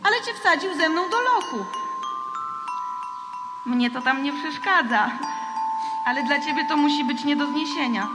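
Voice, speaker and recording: A woman acting a frank, gentle captive alien in a theatrical audio drama, speaking conversationally. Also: high voice, expressive, normal speed, long pauses. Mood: gently teasing. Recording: long reverb, loud music underneath.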